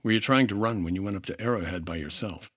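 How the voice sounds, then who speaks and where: An actor as a hard-boiled investigator from an old radio detective play. His voice is fairly low with a rough husk, recorded dry and close. He is terse and brusque, probing with clipped questions. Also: quick pace, fairly bright tone, no echo. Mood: interrogating. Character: brusque, hard-boiled 1950s insurance investigator, exasperated but decent. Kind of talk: 1950s radio detective drama acting.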